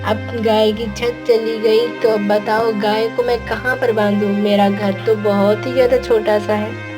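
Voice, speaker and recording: A woman's voice: medium pitch, level tone, unhurried, close to an everyday voice. A woman voicing a fretful village mother. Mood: worried. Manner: worried, pleading to God. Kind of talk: Hindi cartoon voice acting. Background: background music.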